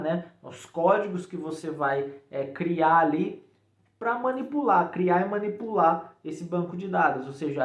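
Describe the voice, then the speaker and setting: Man, Brazilian accent, slightly high voice, casual tutorial host, informal check-in tags, echoey room. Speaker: programming tutorial YouTuber. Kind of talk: casual explainer to viewers.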